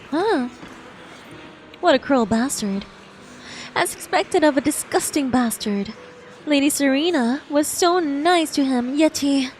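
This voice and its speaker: Very high-pitched, slow-paced woman game streamer. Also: a little hiss or hum. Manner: emotive, exclamatory, sympathetic. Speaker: streamer reacting to a game story. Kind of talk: live playthrough reaction, reading dialogue aloud.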